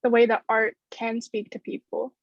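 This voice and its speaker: Woman in her twenties, higher-pitched, earnest panel answer, very dry sound, video call. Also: monotone, normal speed, no room sound. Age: 20s. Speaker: theater student. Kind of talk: earnest spoken answer in an online panel discussion. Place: small room at home. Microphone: video call, earbuds. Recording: muffled video-call audio.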